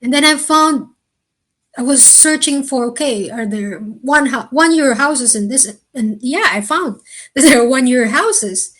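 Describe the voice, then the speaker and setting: A woman answering interview questions in a thoughtful, earnest way, with slow, deliberate phrasing. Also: sits high, expressive, fairly bright tone. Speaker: interview guest.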